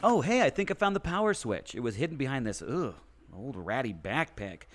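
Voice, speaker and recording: Man acting silly, animated adventurer Al. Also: mid-range voice, fairly quick, natural voice. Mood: eager. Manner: exaggerated, theatrical. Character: excitable, silly, food-obsessed male adventurer Al. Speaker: podcast host acting in audio drama. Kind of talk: hammy amateur radio comedy acting. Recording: noticeable ambient background noise.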